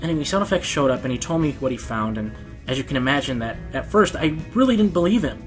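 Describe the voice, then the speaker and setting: A man's voice: mid-range voice, big pitch swings, fast talker, close to an everyday voice. A man playing a passionate, self-deprecating male Pegasus radio host in an earnest radio play. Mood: reflective. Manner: earnest, hesitant, turning indignant. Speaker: radio show host being interviewed. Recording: music behind the voice.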